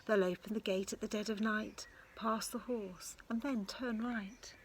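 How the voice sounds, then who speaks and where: A woman's voice: medium pitch, steady tone, unhurried, a little hiss or hum, lightly acted. A woman voicing a tiny ladybird, whispering a warning to the farm animals in a storybook. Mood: urgent whisper.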